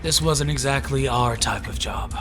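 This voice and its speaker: A man's voice: medium pitch, normal speed, over music, lightly acted. A man playing a sardonic, grumbling mercenary dragoon in a fantasy audio drama.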